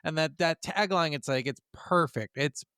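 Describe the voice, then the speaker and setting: A young man chatting casually as a podcast co-host, emphatic, opinionated and quick to swear. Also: a little high, a bit bright, clean tone, no room sound, studio quality. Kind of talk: casual gaming podcast chat.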